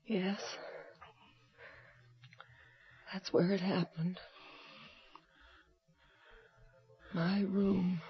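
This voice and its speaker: An actress playing a fragile, anxious young wife, halting and emotional, in a radio horror drama. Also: female voice, on the low side, flat delivery, highs cut off, soft background music, natural voice. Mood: subdued. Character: fragile, haunted young wife, easily distressed.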